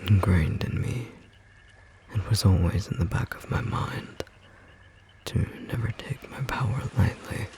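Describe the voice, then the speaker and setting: A man playing a gentle, romantic storm sorcerer in intimate ASMR roleplay, speaking tenderly in a soft, breathy, slightly rough voice. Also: deep voice. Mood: reflective, earnest. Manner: soft, slow, tender murmuring with pauses.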